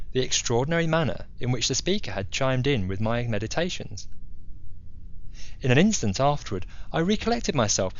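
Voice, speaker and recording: A man reading scripted literary narration in a formal, measured storytelling manner. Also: medium pitch, dull audio. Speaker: audiobook narrator.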